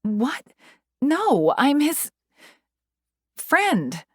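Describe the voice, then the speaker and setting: A woman's voice: high-pitched, wildly swooping pitch, drawn-out words, no room sound, studio quality, voice pushed up, heavily acted. A woman voicing a desperate, angry young woman patient who screams and pleads. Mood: defiant.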